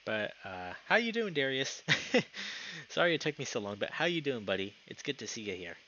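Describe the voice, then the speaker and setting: A man's voice: medium pitch, very animated, a little fast, pushed and bright, dull audio, a little hiss or hum. A young man live streaming, moving between casual chat and reading story narration aloud.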